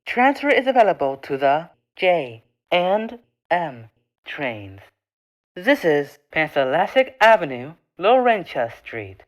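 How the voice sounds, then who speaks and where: A woman reading scripted train stop announcements as a transit announcer, in measured, formulaic, separate phrases. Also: on the low side, sing-song.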